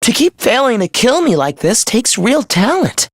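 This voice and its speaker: A man's voice: extremely high pitch, very animated, normal speed, no room sound, clean studio sound, full character voice. A young man playing a smug, mocking villain in a video game, with a condescending, theatrical delivery. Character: taunting, smug young villain living in someone's head. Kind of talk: video game character acting.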